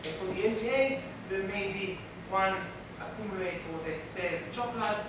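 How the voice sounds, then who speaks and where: Man, Israeli accent, workshop lecturer, deliberate and halting, distant mic, noisy room. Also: high voice, heavy reverb. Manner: careful, deliberate speaking with frequent restarts.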